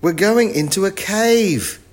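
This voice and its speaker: A man voicing a lively, anxious schoolchild in a storybook adventure, calling out earnestly. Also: medium pitch, unhurried, husky, in a large hall, voice pushed up. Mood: urgent.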